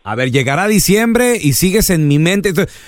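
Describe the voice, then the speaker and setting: High-pitched Mexican male radio host. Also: very animated, moderate pace. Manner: colloquial Mexican slang. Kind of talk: lively radio banter and interviewing. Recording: music playing behind. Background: background music.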